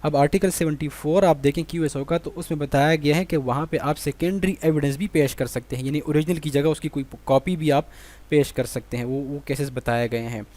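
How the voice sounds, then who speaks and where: Male lecturer with a Pakistani accent and a slightly high voice. He reads out exam items, then explains each one, often asking himself a question and answering it. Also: brisk, darkish tone. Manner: explanatory, repeats points with rhetorical questions. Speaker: law lecturer.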